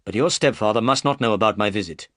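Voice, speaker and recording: A man voicing a calm, shrewd male detective who speaks in a measured, firm way. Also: fairly low pitch, no room sound, studio quality, close to an everyday voice.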